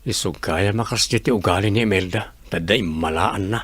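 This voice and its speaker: A man's voice: fairly low pitch, normal speed, fairly bright tone, slightly rough, dry recording. A man playing a plain-spoken construction worker and loyal friend in an audio drama, talking in a casual, questioning way. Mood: annoyed. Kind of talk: Filipino audio drama acting.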